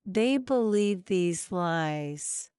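Very slow, crisp woman reading sentences. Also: fairly low pitch, expressive, darkish tone, no echo, clean studio sound. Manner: careful, evenly paced repetition. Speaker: English sentence reader. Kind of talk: slow, clear example-sentence reading for learners.

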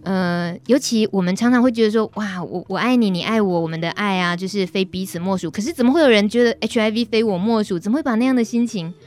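A woman's voice: mid-range voice, quick pace, few pauses, a little mellow. Female radio host, middle register, Taiwanese Mandarin accent, conversational interviewing.

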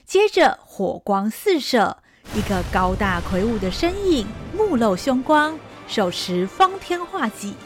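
A woman's voice: a little high, big pitch swings, a little slow, soft background music. Woman with vivid storytelling narration. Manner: vivid, dramatic.

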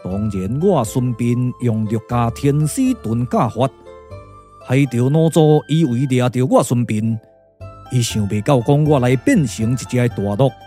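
An actor with a Taiwanese accent playing a solemn, weary strategist, speaking in measured, stately puppet radio drama style. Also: male voice, mid-range voice, dark tone, faint music behind, close to an everyday voice. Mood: calm, confident.

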